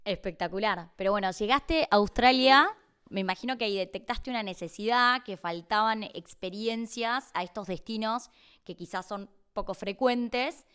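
A woman's voice: medium pitch, normal speed, fairly bright tone, smooth. A woman, interviewing a guest as a podcast host.

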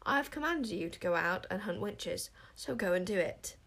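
A woman's voice: mid-range voice, lively intonation, moderate pace, vocal fry, a bit bright. A woman voicing an imperious, icy warrior queen in a storybook, haughty, commanding and scornful. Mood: icy outrage. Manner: commanding, withering, snapping.

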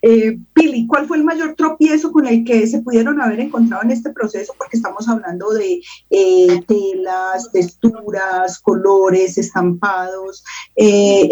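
A woman's voice: mid-range voice, mellow, husky. A Colombian woman, a teacher, putting conversational interview questions from some distance to the microphone. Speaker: teacher asking questions on a panel.

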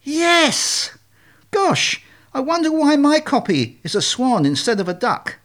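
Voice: sits high, sing-song, slow, fairly bright tone, pitched above the performer's own voice, heavily acted. A performer voicing a naive, dim young swan who believes he is an ugly duckling, self-pitying and childlike in a storybook tale. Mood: naive wonder.

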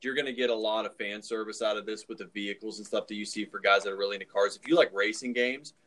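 Low-voiced male podcast host, casual and rambling. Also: steady tone, quick pace, a bit bright. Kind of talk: casual movie discussion.

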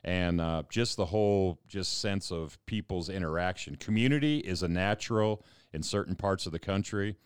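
A man's voice: low voice, normal speed, few pauses, clear, resonant tone, no echo, clean studio sound. A man pastor talking thoughtfully in a casual panel discussion, often repeating words as he thinks.

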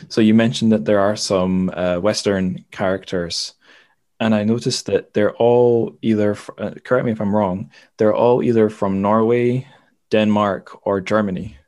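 A man's voice: fairly low pitch, a little mellow. A man hosting a podcast in a casual, rambling literary discussion, often correcting himself as he feels his way to a point. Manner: tentative, rambling, self-correcting. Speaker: podcast host, interviewer.

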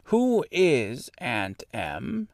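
A little old woman from a storybook, a good witch with a calm, kindly temper, acted out by a man. The delivery is slow and stretched out, swinging widely in pitch, with some creak in the voice. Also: dry recording, clean studio sound, heavily acted. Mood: patient, assured. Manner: deliberate, stretched-out, explanatory phrasing. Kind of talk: storybook character voice.

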